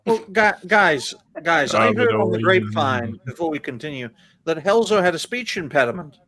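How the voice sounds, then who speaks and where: A man's voice: a little high, big pitch swings, normal speed, fairly bright tone. A man hosting a casual podcast panel who makes irreverent jokes and steers the talk between guests. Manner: irreverent, joking, leads the talk. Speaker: podcast host.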